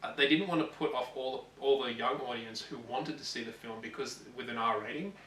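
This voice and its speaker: Aussie guy rambling casually about his opinions, bright voice, roomy sound w faint noise behind. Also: mid-range voice, fairly quick. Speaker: co-host of a gaming show. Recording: roomy echo, distant mic, faint noise.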